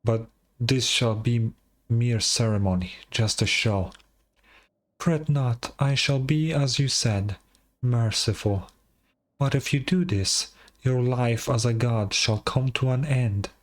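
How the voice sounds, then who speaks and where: A man's voice: mid-range voice, lightly acted. A man voicing an ancient, proud dragon lord from a fantasy game, stern and scornful in a grand, formal manner. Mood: intrigued, regal. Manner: grandiose, formal, deliberate delivery. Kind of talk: dramatic fantasy game dialogue.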